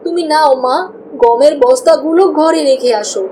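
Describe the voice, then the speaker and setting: Cartoon village daughter, played by a woman, high childlike pleading voice, anxious, clear storm noise behind. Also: moderate pace, mellow, natural voice. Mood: chatty. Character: anxious young village girl, chatty daughter.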